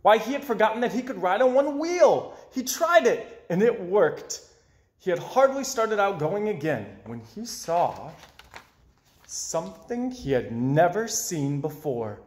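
A young man reading a children's story aloud in an expressive way, with dramatic pauses. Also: sits high. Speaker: storybook reader. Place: small indoor room with bookshelves. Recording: roomy, echoey indoor sound.

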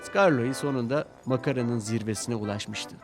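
A man's voice: mid-range voice, normal speed. A man narrating a scripted children's story with dramatic storytelling delivery. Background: music.

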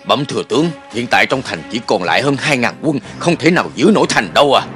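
An actor dubbing an anxious, deferential army officer in an animated historical drama, speaking formally and urgently. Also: male voice, mid-range voice, fast talker, soft background music, natural voice. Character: anxious, deferential male army officer reporting to his lord.

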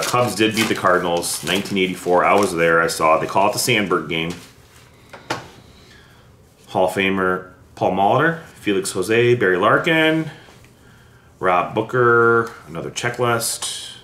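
A man's voice: deep voice, a bit bright. A middle-aged American man giving casual running commentary as he sorts through collectibles at a table, with playful asides. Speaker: vlogger opening vintage sports card packs. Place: indoors at a wooden table in a room. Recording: roomy echo, background hiss, handling clicks.

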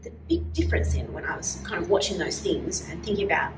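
A middle-aged woman leading a children's church lesson, explaining with animated gestures in a casual teaching chat. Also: on the low side, quick pace, background noise. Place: small indoor room, standing at a wall. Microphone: far camera. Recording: roomy sound from a distant camera.

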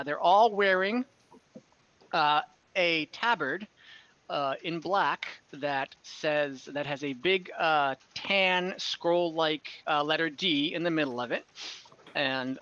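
A man narrating as game master over a video call, slow and rambling with many fillers. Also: on the high side, sharp tone, highs cut off.

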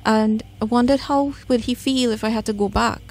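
Higher-pitched Trinidadian woman. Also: fairly even intonation, moderate pace. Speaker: radio guest, spiritual medium. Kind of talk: conversational interview, personal storytelling. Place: radio studio. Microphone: studio microphone.

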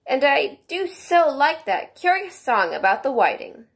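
A woman's voice: high voice, big pitch swings, measured pace, vocal fry, voice pushed up, full character voice. A woman voicing Alice as a polite, curious and slightly timid little girl in a storybook. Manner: polite, wondering.

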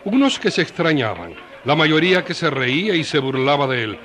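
A man's voice: on the high side, very animated, normal speed, fairly bright tone, a faint crowd, slight background noise. A man narrating a scripted story in a colloquial, storytelling style.

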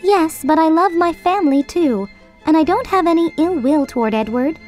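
A woman voicing a calm, dutiful young woman, an elder sister, who speaks in an earnest, restrained way. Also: extremely high pitch, moderate pace, faint music behind, pitched above the performer's own voice.

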